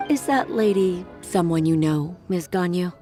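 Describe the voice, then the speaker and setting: A woman's voice: fairly low pitch, lively intonation, measured pace, mellow, faint music behind. A woman voicing a deferential, efficient office assistant in a video game, courteous and businesslike.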